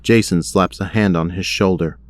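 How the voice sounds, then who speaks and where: A man's voice: deep voice, fairly even intonation, normal speed, no room sound, lightly acted. A man narrating a superhero audio drama in a scripted storytelling style. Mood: measured. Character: male narrator of a superhero adventure.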